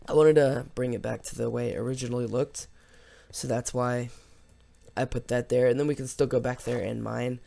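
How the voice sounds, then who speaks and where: A man with a slightly hoarse voice giving casual, rambling, unscripted let's play commentary as host of a gaming video. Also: mid-range voice, steady tone, normal speed, dark tone. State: slightly hoarse after losing voice from yelling.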